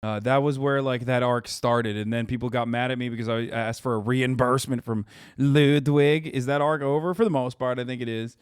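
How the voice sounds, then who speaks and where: A man's voice: medium pitch, fairly even intonation, a little fast, clear, resonant tone, dry recording, studio quality. A man in his thirties streaming live, chatting casually and bluntly with his chat, swearing often. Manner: profane, blunt, joking with chat. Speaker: streamer reacting to videos.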